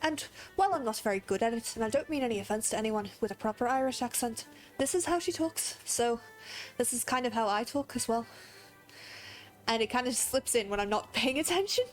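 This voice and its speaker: Young woman game streamer, Australian accent slipping American, rambling talk, slightly high voice. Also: expressive, brisk, soft background music, poor audio. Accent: Australian with American drift. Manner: chatty, rambling, laughs often. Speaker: gaming streamer. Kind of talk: casual livestream chat while playing.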